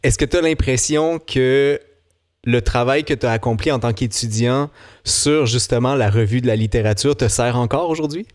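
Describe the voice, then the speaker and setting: A man's voice: mid-range voice, moderate pace. A man, hosting a casual interview and summarizing his guest's points. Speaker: podcast host.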